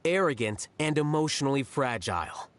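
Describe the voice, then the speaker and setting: An aloof young scholar from a game, played by a man. His mid-pitched voice moves with lively inflection, formal and condescending, as he coolly puts others in their place. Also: moderate pace, a little hiss or hum, natural voice. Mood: cool disdain. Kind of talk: scripted game voice acting.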